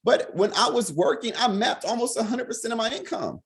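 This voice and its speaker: A man leading a financial sales team on a video call, hoarse from being sick, talking fast and repeating "right?" over and over. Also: extremely high pitch, animated. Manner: fast, repetitive, peppered with "right?". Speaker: financial services team leader. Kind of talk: motivational talk on a video call.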